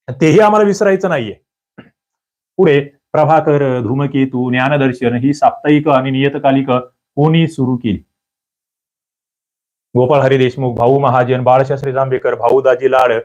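A man teaching an exam prep lecture, quizzing listeners with lively rhetorical questions. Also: medium pitch, moderate pace. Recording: dull lo-fi audio.

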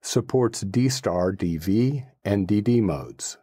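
A man with a low, mellow voice presents a how-to explanation. He sounds measured and matter-of-fact, and the recording is close and dry. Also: lively intonation, husky, no echo. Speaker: host presenting a radio installation.

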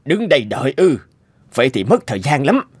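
A man's voice: medium pitch, big pitch swings, a little fast, husky. A man voicing a busy, grumbling male research scientist in a cartoon, with a harried manner. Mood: impatient. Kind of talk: cartoon voice acting.

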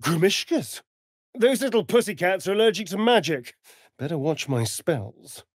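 An actor voicing a suave, vain, sardonic vampire rogue in a video game, with a British accent and an arch, theatrical drawl. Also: male voice, sits high, expressive, normal speed, no room sound, studio quality. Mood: observant. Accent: British English. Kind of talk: video game character voice acting.

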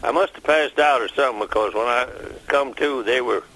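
A man's voice: mid-range voice, fairly quick, a bit bright. A man in his nineties with a Southern US accent, recalling events in a plain-spoken phone interview. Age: 90s. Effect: phone call. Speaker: war veteran interviewee. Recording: telephone interview line.

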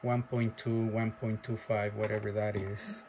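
A man casually showing off a radio he is selling, talking haltingly with many fillers and breaking into loud clipped mic tests. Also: on the low side, little pitch movement, vocal fry, a loud crowd. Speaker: seller demonstrating a radio. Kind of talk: casual off-the-cuff product demo. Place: indoor workshop bench.

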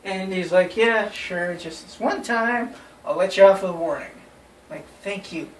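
A young man casually telling friends an anecdote in an animated way. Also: high voice, a little slow. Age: young adult. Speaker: storyteller. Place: small bedroom, indoors. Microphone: phone camera a few feet away. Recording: roomy, distant phone mic with noise.

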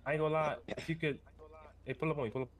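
A young man talking casually in street slang in an online game roleplay, halting and repeating words. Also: fairly high pitch, steady tone. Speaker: player in a GTA roleplay server. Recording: background noise on game voice chat.

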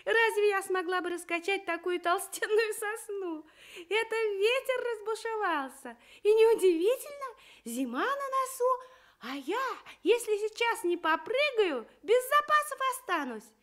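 A woman's voice: extremely high pitch, lively intonation, measured pace, a bit bright, pitched above the performer's own voice, full character voice. A woman voicing a cheerful, sensible little female squirrel in a children's radio tale, light and chirpy.

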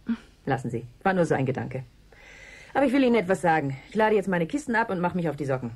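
An actress playing a sceptical, sharp-tongued, logical woman in a German radio play, brusque and sardonic in manner. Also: lowish voice, very animated, quick pace, husky, heavily acted. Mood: dismissive.

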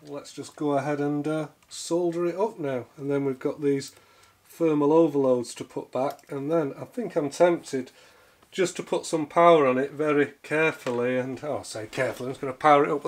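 A middle-aged man with a croaky voice from a cold, chatting casually as he repairs gear at his workbench. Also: a little high. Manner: chatty, thinking aloud while working. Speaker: repair video host. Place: home workshop bench.

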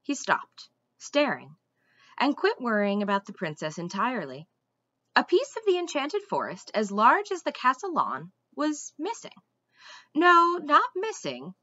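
Lively, bright young woman reading a story aloud. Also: high voice, unhurried, no room sound, muffled recording. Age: young adult. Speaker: teacher reading aloud to students. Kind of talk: expressive storybook read-aloud. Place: small room indoors at home.

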